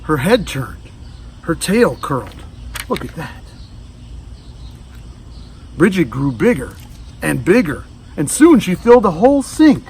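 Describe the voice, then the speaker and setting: A man's voice: a little high, sing-song, a little slow, pauses often, darkish tone, recorded from a distance, a little hiss or hum. A man reading a children's storybook aloud, adding casual asides to young listeners. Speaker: storybook reader. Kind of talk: children's read-aloud narration.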